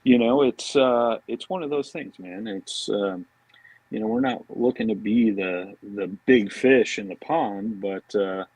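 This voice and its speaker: Low husky american guy, super casual rambling podcast guest, dry close sound. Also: unhurried, darkish tone, dry recording, poor audio. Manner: informal, rambling, peppered with "you know" and "man". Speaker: podcast guest, fishing products marketing director. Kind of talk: casual interview chat.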